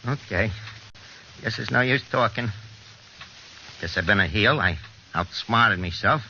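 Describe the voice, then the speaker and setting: An actor playing a wisecracking American small-time forger in an old radio drama, with slangy, hesitant, streetwise talk. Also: male voice, on the low side, unhurried, bright, forceful tone, exaggerated voice. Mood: resigned. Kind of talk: 1940s radio drama acting. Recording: dull, noisy old radio recording.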